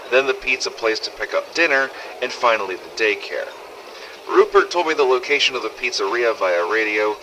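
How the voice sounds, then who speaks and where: A man's voice: lowish voice, sharp tone, slight background noise, low-quality recording. A man playing a weary, sarcastic survivor of a zombie outbreak, narrating first-person audio logs in a scripted game-story style. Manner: sardonic, exasperated, swearing.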